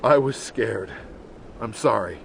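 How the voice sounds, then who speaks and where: A man's voice: on the low side, expressive, slow pace, vocal fry, a little hiss or hum, full character voice. A man playing a worried, insistent brother-in-law in a video game drama. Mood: grieving.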